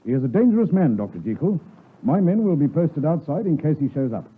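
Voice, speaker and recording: An actor playing an officious British Scotland Yard inspector in a 1950s radio drama, formal, polite and insistent. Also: male voice, a little high, very animated, a little fast, slightly rough. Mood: firm. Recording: muffled, lo-fi 1950s radio broadcast.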